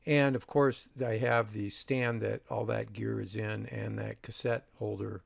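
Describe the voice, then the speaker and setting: Gravelly older man narrating, dry sound. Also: fairly low pitch, level tone, unhurried, dry recording. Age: 60s. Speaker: video host, audio reviewer. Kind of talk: narration over a photo slideshow.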